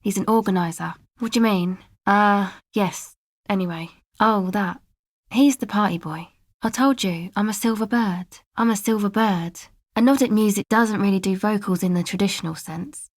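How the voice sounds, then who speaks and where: A woman's voice: mid-range voice, normal speed, no room sound, natural voice. Woman playing a laid-back, guarded young club girl, dry sound. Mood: explaining. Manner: offhand, slangy, hesitant asides. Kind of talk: casual video game dialogue.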